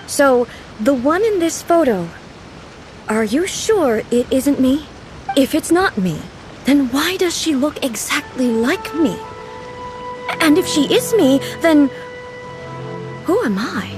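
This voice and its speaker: A woman playing a solemn, naive deity ruler in a video game, speaking in a formal, measured, earnest way. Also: sits high, very animated, over music, exaggerated voice. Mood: puzzled wonder. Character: solemn, naive female deity ruler, puzzled by modern things. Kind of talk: scripted video game dialogue.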